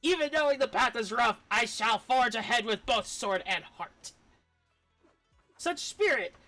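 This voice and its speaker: Woman putting on a high, pompous little robot scholar. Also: lively intonation, a little slow, pushed and bright, soft background music, heavily acted. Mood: grandiose. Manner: grandiose, flowery, old-fashioned speech. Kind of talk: game dialogue read aloud in character.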